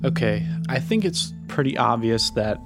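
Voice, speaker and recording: A man hosting a casual interview, asking questions in a gentle, encouraging way. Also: medium pitch, animated, normal speed, over loud music. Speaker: host interviewer.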